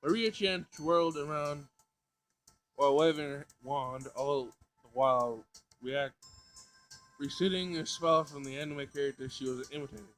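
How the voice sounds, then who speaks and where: A man with a fairly high, very bright voice reads a story aloud in a dramatic narrating style. He now and then trips over a word and goes back over it, with music playing behind him. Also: moderate pace, low-quality recording. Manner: stumbles over words and rereads them. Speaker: video creator reading a visual novel aloud.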